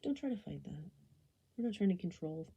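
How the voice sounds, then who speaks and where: A woman guiding a body scan meditation, speaking softly and gently in an unhurried, soothing way, often slipping toward a whisper. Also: lowish voice, big pitch swings, highs cut off. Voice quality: soft, breathy, often falling into a whisper. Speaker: meditation guide.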